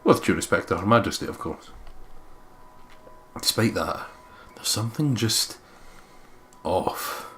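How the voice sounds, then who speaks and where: A man's voice: on the low side, expressive, measured pace, long pauses, fairly bright tone, slight background noise. A man hosting a let's play, reading visual novel narration aloud with some casual commentary.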